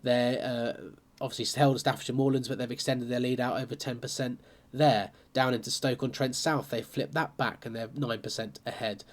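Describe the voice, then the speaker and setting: A man's voice: medium pitch, fairly even intonation, moderate pace. A congested man with a cold, chatting casually as he goes through an election map, with plenty of fillers. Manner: chatty and conversational, with frequent fillers. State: bunged up with a cold. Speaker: political commentator. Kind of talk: casual analysis talking over a map.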